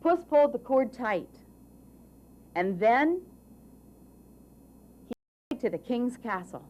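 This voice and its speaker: A woman narrating a children's story in a deliberate, expressive way with dramatic phrasing. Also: on the high side, slight background noise, low-quality recording.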